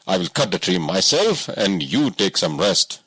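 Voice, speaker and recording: A man voicing a calm, commanding king disguised as a farmer in an audiobook story. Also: deep voice, a little slow, breathy edge, no echo, heavily acted, rough recording. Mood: reassuring.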